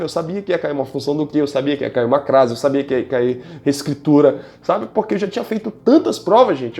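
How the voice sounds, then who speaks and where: Fast-talking Brazilian man, gravelly. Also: fairly high pitch. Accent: Brazilian Portuguese. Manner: animated, slangy and direct, addresses viewers as "gente" and "cara". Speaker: exam-prep teacher. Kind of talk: casual video lesson.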